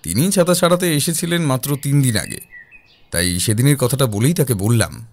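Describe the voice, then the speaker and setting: A man's voice: medium pitch, lively intonation, normal speed. A man reading a scripted first-person detective story as its narrator.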